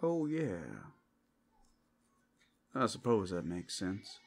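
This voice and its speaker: A man's voice: low voice, wildly swooping pitch, extremely slow, vocal fry, slightly rough, heavily acted. A man playing an anxious, exasperated brothel worker, fretful and protesting, in a fantasy ASMR roleplay. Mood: uneasy.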